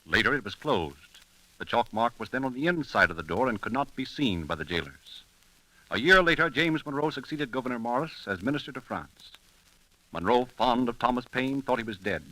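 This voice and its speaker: A man's voice: medium pitch, lively intonation, brisk, pushed and bright, no room sound, poor audio. A man narrating a scripted 1930s radio drama in a theatrical, emphatic manner. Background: dramatic music.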